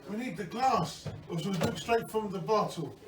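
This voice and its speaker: A man's voice: sits high, normal speed, lightly acted. A man playing a zealous, wounded Soviet soldier in an amateur war role-play, declamatory and repeating his phrases. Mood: comradely. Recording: echoey, distant, noisy room recording.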